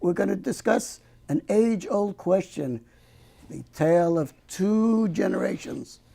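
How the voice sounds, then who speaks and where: A man's voice: high voice, lively intonation, measured pace, gravelly, dark tone. An older man hosting a local TV talk show, folksy and prone to joking asides. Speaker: host.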